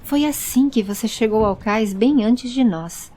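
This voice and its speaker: Woman as a calm, resolute female traveller. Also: fairly high pitch, a little slow, a little hiss or hum, lightly acted. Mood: probing. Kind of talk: audio story character voice.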